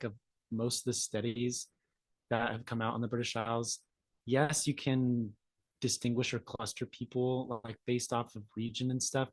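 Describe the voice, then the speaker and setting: A man's voice: medium pitch, no room sound. Young man explaining, dry close sound. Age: 20s. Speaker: interview guest explaining DNA testing. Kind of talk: casual explanatory interview talk. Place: indoor room, video call.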